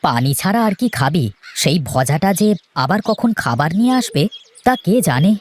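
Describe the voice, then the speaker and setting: A woman voicing a sensible, hardworking eldest brother in a children's cartoon, firm and gently scolding. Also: sits low, normal speed, a little hiss or hum. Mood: firm, weary. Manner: steady, scolding, big-brotherly.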